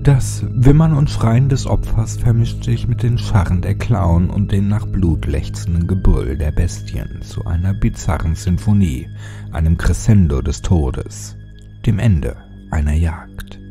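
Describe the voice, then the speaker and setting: A man narrating a scripted horror story in a dramatic, suspenseful manner with deliberate phrasing. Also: low voice, gravelly, over music.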